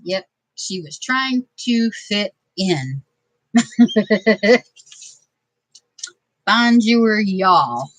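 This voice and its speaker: A woman's voice: mid-range voice, lively intonation, very slow, pauses often, poor audio. A middle-aged woman from Detroit, telling funny family stories in a chatty, laughing way during a craft video. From Detroit, USA. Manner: chatty, laughing, self-mocking humor. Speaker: craft vlogger. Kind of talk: casual storytelling while crafting.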